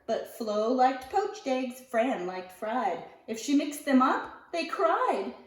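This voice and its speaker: A woman reading a picture book aloud to young children, expressively, with deliberate phrasing and drawn-out key words. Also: high voice, expressive. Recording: roomy echo, distant mic.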